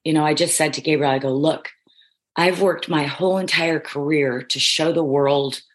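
A middle-aged woman with a notably deep, husky voice talks through an interview in long, looping sentences. She is emphatic and self-affirming, stacking one thought onto the next. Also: steady tone, moderate pace. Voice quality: low, husky voice. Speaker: reality show contestant guest. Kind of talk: casual interview chat.

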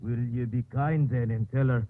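An actor playing a slow, humble village man with a rural English dialect and halting speech, in 1950s radio drama style. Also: mid-range voice, fairly even intonation, slight background noise, lightly acted. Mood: earnest, halting. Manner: halting, repetitive. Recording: tinny old radio broadcast.

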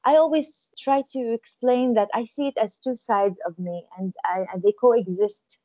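A woman in her forties from Israel speaks in a mellow, darkish tone, reflective and unhurried, often restarting her phrases. The sound is dry and close, with a slightly muffled, lo-fi edge. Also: a little high, no room sound. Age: 40s. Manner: thoughtful, warm, with soft laughs and frequent restarts. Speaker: guest musician and actress. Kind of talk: interview answer.

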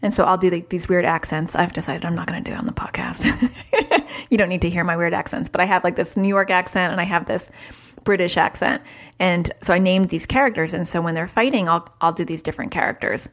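A woman hosting a solo podcast, talking in a chatty, upbeat way with lively jumps in pitch. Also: fairly quick. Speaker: podcast host, parent.

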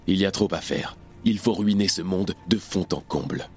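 A man acting a harsh, hard-line revolutionary in an audio drama, grave and deliberate. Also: deep voice, faint music behind, close to an everyday voice. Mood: grim, reflective.

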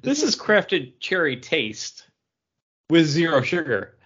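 A man's voice: a little high, sing-song, slow pace, a bit bright, husky, highs cut off. A man chatting casually as a podcast co-host. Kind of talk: casual chat.

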